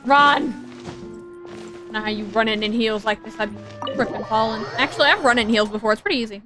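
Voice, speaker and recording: A woman's voice: on the high side, fairly quick, low-quality recording. A woman giving casual, rambling gaming commentary in an informal, chatty way. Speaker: gaming video creator. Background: game music.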